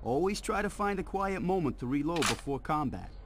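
Man playing a folksy military instructor, highish voice. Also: expressive, brisk, over a noisy crowd, lightly acted. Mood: gung-ho, instructional. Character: confident, folksy armored male military training instructor. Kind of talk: scripted game tutorial voice acting. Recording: noisy game audio with ambience.

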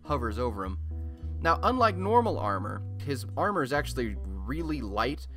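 Male actor as wry superhero-city radio host. Casual, conversational, animated pitch, soft music underneath. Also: normal speed. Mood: enthusiastic, chatty. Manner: wry, conversational, mildly sweary. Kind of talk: casual scripted radio monologue.

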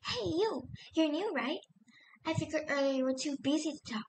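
A woman voicing a loud, eager, chatty schoolgirl animal in a cartoon audition. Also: high voice, expressive, normal speed. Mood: bubbly, eager. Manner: gushing, eager, exclaiming. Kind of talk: character audition lines.

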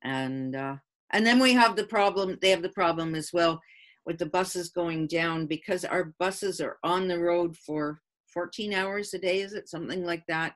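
A woman's voice: fairly low pitch, normal speed. Hesitant woman councillor on a video call. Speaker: town councillor. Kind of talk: informal meeting discussion. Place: remote video call from a room.

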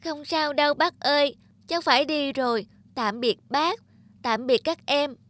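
A woman's voice: high voice, normal speed, fairly bright tone. A woman voicing a kind, determined young male puppy in a children's cartoon. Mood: polite, weary. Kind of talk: children's cartoon storytelling dub.

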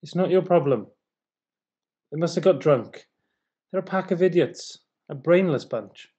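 A man's voice: a little high, measured pace, warm tone, husky, highs cut off. A British man playing a grumpy, sleep-deprived, sceptical husband in a dramatic verse reading, grumbling with sarcasm. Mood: sleepy, irritable.

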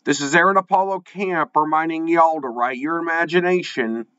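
An American man with a high, forceful voice reads scripted podcast host lines. The recording is very dry and slightly dull. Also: moderate pace, no room sound.

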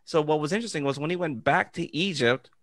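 A man's voice: on the high side, fast, no echo. A middle-aged man, an educator co-hosting a podcast, telling an encouraging story in an earnest, conversational way from a small studio mic. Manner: earnest, encouraging, animated hand gestures. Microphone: studio mic on boom arm with headphones.

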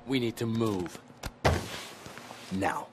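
An actor playing a brisk, sardonic agent sidekick in a crime video game. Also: male voice, lowish voice. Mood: wry, brisk. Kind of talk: video game drama acting. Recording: loud car and gunfire noise.

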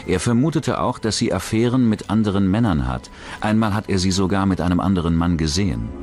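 A man's voice: sits low, expressive, fairly quick, a little mellow. A man reading scripted narration for a true-crime documentary as its narrator. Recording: dramatic music bed under the voice. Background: background music.

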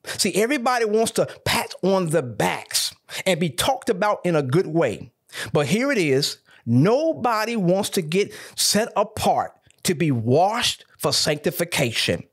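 A man preaching from the pulpit, his voice slightly husky and rough at the edges. His pitch climbs and swoops as he stacks up emphatic points and calls out to his listeners. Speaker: pastor. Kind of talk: sermon.

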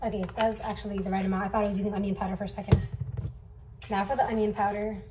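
A woman's voice: medium pitch, level tone, very fast. A young woman chatting casually while she cooks in a home kitchen, rambling with lots of fillers. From Somali family. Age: young adult. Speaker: vlogger. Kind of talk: casual cooking vlog chat. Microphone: camera on counter, distant. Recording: distant camera mic, noisy.

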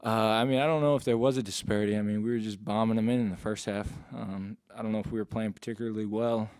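A young man answering questions as a college basketball player at a postgame press conference, in a laid-back, low-key way, into a table mic. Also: steady tone, quick pace, a little mellow, clean tone, dry recording. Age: young adult. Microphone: table mic on stand.

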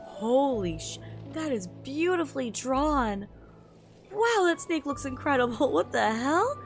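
A woman streamer reading a manga aloud in an expressive, giggly way, with excited reactions in between. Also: high-pitched, unhurried. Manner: expressive, giggly, switches into character voices. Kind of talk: live reaction read-aloud with excited asides. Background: music behind the voice.

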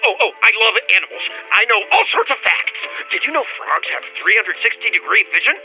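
An actor playing an eager, excitable animal-loving park guest in a comedic radio play, gushing and exclamatory. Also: male voice, high-pitched, fairly quick, faint music behind, exaggerated voice, poor audio.

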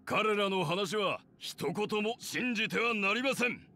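A man's voice: high-pitched, animated, measured pace, pushed and bright, slight background noise, heavily acted. An actor playing a scheming, easily indignant elderly clan head in an anime game, formal and deferential to his ruler. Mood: defensive, obsequious. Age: older. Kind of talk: anime game character acting.

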